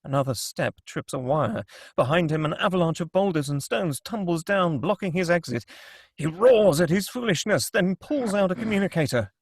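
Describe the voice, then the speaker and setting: A man's voice: a little high, lively intonation, moderate pace, breathy edge, dry recording, clean studio sound. A man reading scripted stage directions as the narrator of a screenplay table read.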